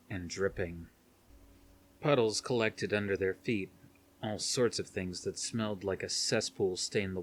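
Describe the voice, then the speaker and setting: A man narrates a thriller novel as an audiobook reader. His voice sits on the low side, and the delivery is scripted storytelling. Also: slight background noise.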